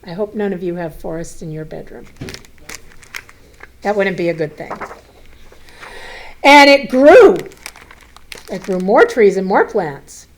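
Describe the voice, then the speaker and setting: Unhurried woman reading to young children. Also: mid-range voice. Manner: expressive, addressing children with questions and simple explanations. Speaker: school superintendent reading a picture book to children. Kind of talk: children's read-aloud with chatty asides to young listeners.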